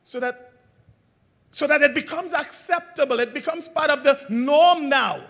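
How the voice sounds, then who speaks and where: A man preaching a sermon as pastor, asking the congregation call-and-response questions and swinging between loud emphatic lines and quiet low ones. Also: a little slow.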